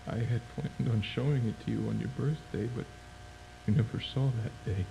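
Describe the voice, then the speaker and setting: A man playing a grieving, vengeful father in a somber, halting, dramatic found-footage monologue, with a noisy, humming sound. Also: mid-range voice, gravelly, warm tone. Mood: wistful. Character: grieving, vengeful father recording a tape for his dead child. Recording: noisy, humming tape-like recording.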